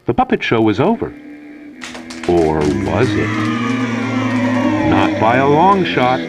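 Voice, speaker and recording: Male narrator, low register, widely swinging delivery, mock-earnest children's educational film read, loud music bed. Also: wildly swooping pitch, slow, over a noisy crowd. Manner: theatrical, mock-earnest storyteller. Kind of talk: scripted narration in the manner of an old children's educational safety film.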